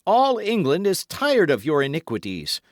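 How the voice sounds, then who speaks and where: A man's voice: medium pitch, very animated, a little slow, dry recording, studio quality, pitched above the performer's own voice. A man voicing a fervent young fanatic in an audiobook, pleading and threatening in an impassioned, insistent way. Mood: fervent, accusing. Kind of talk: dramatic audiobook character acting.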